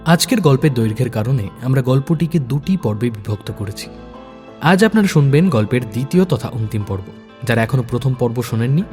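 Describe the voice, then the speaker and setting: A man's voice: mid-range voice, darkish tone, long reverb tail. A man hosting and narrating an audio story in a scripted storytelling style. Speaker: story narrator and channel host.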